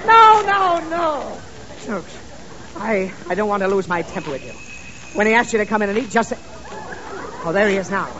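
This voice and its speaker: A man's voice: high-pitched, sing-song, moderate pace, pauses often. A man playing a flustered, exasperated father in a 1930s radio comedy, fussy and stammering, anxious to please. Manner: fussy, stammering, easily irritated. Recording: dull, noisy 1930s radio recording.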